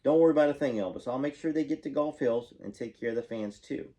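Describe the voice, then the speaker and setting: A man reads as a genial, helpful man, a loyal fan eager to oblige. The voice is mid-pitched, in the manner of storybook dialogue read aloud. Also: fast, warm tone, natural voice. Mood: reassuring, obliging. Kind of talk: acted dialogue in a story reading.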